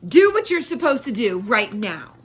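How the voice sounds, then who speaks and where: A woman's voice: a little high, very animated, normal speed, slight background noise. A woman voicing a bossy, impatient young girl from a storybook, with a curt, demanding way of talking.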